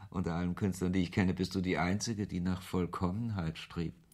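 A man's voice: fairly even intonation, a little slow, darkish tone. An actor playing a brooding, ironic author who narrates his own story in a radio play, with a literary, sardonic manner. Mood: teasing. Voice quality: low, husky voice. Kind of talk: first-person radio play narration and dialogue.

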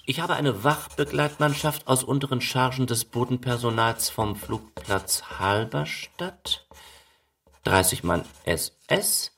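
A man giving a scripted literary documentary narration with deliberate, measured phrasing. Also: medium pitch, gravelly, fairly bright tone, no room sound, studio quality. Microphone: close studio microphone, dry.

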